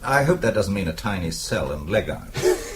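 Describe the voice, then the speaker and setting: Flippant, animated British gentleman. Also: deep voice, moderate pace, crowd noise. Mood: flippant, evasive. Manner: wry, urbane, evasive. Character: dry, flippant Englishman, quick-witted husband under suspicion. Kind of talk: radio drama acting.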